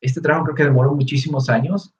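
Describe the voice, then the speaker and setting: A young man with a Peruvian Spanish accent, relaxed and explanatory, answering questions in an online talk with many fillers and restarts. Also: a little high, fast. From Peru. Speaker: guest speaker, researcher. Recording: echoey room, dull lo-fi audio.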